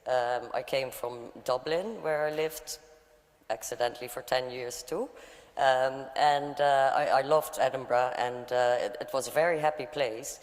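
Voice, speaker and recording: A Dutch woman standing as a candidate, giving a nervous, halting speech at a hustings. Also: rumbling low, steady tone. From Netherlands. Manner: halting, nervous, many fillers. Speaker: election candidate. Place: large church hall. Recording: long church hall reverb.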